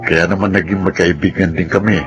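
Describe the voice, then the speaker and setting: A long-suffering young man in a radio drama played by a man. He has a lowish voice and an earnest, polite delivery, with dramatic music behind him. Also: steady tone, fairly quick, muffled recording, lightly acted. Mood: sad, sombre. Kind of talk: radio drama narration and dialogue.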